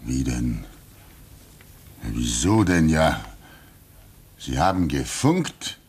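An actor playing a fatherly older weather-station man in a 1950s German radio drama, soothing and wistful, musing in drawn-out phrases. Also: rumbling low, sing-song, a little mellow, breathy edge, heavily acted. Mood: uneasy.

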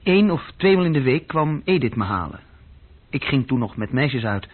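Restless young office clerk, bitter, husky voice, halting delivery, radio drama, muffled recording. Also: male voice, medium pitch, sing-song. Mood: confiding. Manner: halting, searching for words. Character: bored, self-deprecating young male bookkeeping clerk, restless and bitter.

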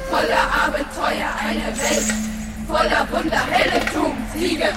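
A woman's voice: high-pitched, measured pace, distant mic. A woman playing a grandiose game-world sage in a radio play, declaiming enticingly about heroic quests. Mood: grand, enticing. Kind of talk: dramatic radio play acting. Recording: very echoey, loud music.

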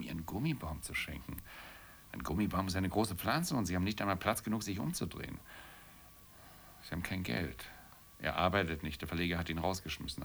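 A brooding man left alone and bitter about it. The voice is on the low side and gravelly, with animated rises and falls under a weary, terse delivery. It is intimate radio drama dialogue. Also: slight background noise, heavily acted. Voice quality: gravelly, creaky. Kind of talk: German radio play dialogue.

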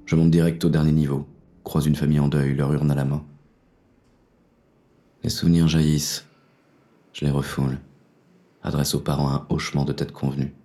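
A man's voice: very deep voice, level tone, normal speed, pauses often, mellow. A man narrating in the first person as a weary, grim inspector in an audio drama. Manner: weary, grim inner monologue.

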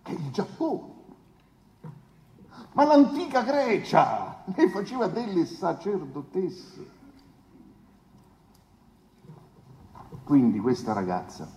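A man's voice: on the high side, vocal fry, full character voice. An actor playing a condescending, sardonic male defence lawyer in a 1970s Italian courtroom drama, delivering a pompous, theatrical oration. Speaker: defence lawyer in a stage play. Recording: echoey hall, faint noise.

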